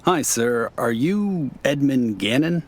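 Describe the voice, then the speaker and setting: An actor playing a businesslike, probing police officer in an audio drama. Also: male voice, mid-range voice, lively intonation, slow. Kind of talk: naturalistic audio drama acting.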